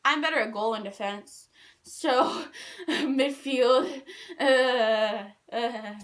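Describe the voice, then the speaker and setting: A young woman telling personal stories in a casual, animated, rambling and self-deprecating way. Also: a little high, drawn-out words. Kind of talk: casual storytime chat.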